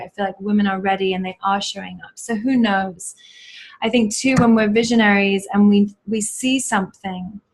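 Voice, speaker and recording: A woman guest on a video call, telling a personal story in an earnest, reflective, spiritual way with many pauses and fillers. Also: mid-range voice. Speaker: guest, yoga teacher and school founder. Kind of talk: casual interview storytelling.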